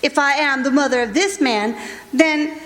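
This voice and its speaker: Actress as insistent high-voiced rural mother. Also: normal speed, a bit bright. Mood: pleading, insistent. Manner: folksy rural speech, emphatic repetition. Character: defendant's plain-spoken mother, insistent and protective. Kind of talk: radio courtroom drama acting. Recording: echoey hall stage recording.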